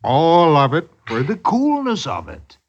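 A plotting country husband in vintage radio drama. He speaks slowly in rustic, old-fashioned phrases, his husky voice rising and falling widely. The recording is muffled. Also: on the high side, wildly swooping pitch, full character voice. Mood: feigned homely calm. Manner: rustic, archaic dialect phrasing. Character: sly, plotting village husband who poisons his wife.

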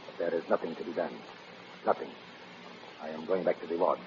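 A man's voice: sits low, fairly even intonation, brisk, lightly acted. An actor playing a callous, domineering male scientist in a vintage radio drama, speaking in a clipped, stiff, formal way. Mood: dismissive. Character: cold, callous male scientist, domineering villain without pity. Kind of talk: 1940s radio melodrama acting. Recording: muffled, noisy vintage radio recording.

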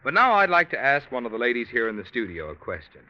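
A man's voice: mid-range voice, big pitch swings, quick pace. A man announcing a sponsor message in a polished, persuasive 1940s radio commercial style. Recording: dull vintage radio recording.

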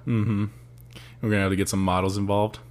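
A man's voice: fairly low pitch, level tone, brisk. A young man co-hosting a podcast, chatting casually, teasing and laughing often. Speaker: podcast co-host. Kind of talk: casual chat.

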